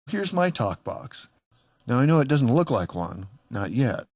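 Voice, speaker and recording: Man, mid-pitched voice, casual how-to narration, plain-spoken, slightly wry, close dry sound. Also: very animated, measured pace, darkish tone, no room sound. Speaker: DIY tutorial presenter.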